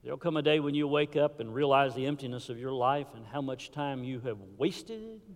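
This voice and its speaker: A man preaching a sermon in a church, swinging from fervent calls to hushed, low warnings. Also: fairly quick. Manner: impassioned preaching with sudden drops to a hush. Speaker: pastor. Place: church sanctuary. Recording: hall reverb.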